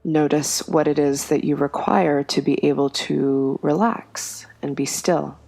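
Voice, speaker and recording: A woman guiding a yoga nidra meditation in a slow, soft, soothing way, with long pauses between phrases. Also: low-pitched, fairly even intonation, creaky, soft background music. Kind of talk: guided meditation.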